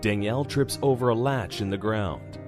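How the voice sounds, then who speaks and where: A man's voice: on the low side, moderate pace. Man narrating horror over music. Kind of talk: scripted horror story narration.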